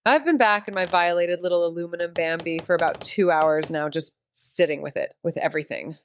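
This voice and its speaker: A woman's voice: lowish voice, a little fast, a little hiss or hum, natural voice. A woman playing a guilt-ridden, wry amateur sleuth, narrating in the first person in a noir audio drama. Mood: brooding. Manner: wry, introspective, brooding.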